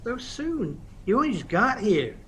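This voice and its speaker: A man playing a kind, concerned, slightly awkward friend in an audio play, gentle and hesitant. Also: high voice, very animated, unhurried, full character voice. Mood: concerned, tender. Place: recorded at home during lockdown. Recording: muffled, noisy home recording.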